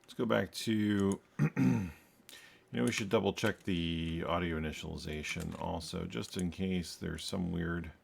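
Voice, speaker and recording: Deep, gravelly man muttering through code. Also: a little hiss or hum. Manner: muttering to himself, halting and self-correcting. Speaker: game programmer. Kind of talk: thinking aloud while coding.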